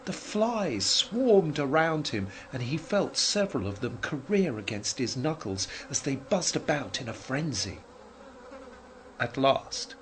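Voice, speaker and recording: A man reads a scripted story in a fairly low voice with a noticeably husky grain. He phrases deliberately, giving the literary prose a measured, dramatic shape. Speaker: narrator.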